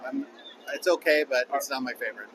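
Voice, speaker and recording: A man's voice: mid-range voice, fairly quick, a bit bright, a little hiss or hum, poor audio. A man at a convention answering interview questions in an easygoing, slightly hesitant way. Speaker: convention attendee being interviewed. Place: busy convention hall.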